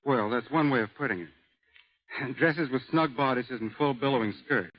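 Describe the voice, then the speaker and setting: A man speaking as a guest expert on a radio show, measured and matter-of-fact, reading scripted answers, on a dull old broadcast recording. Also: medium pitch.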